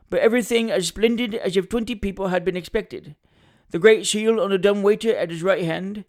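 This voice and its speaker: A man's voice: sits high, voice pushed up. A man voicing a pompous, boastful small-time attorney who likes a drink, with hesitant mock modesty, in a comic Victorian audiobook. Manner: self-important, hesitating mock modesty, flowery politeness.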